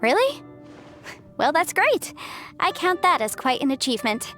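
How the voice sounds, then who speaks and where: A woman's voice: sits high, big pitch swings, normal speed, fairly bright tone. A woman playing an earnest, kindly and cheerful young woman in a video game, speaking politely and sincerely. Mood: relieved. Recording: faint music behind the voice.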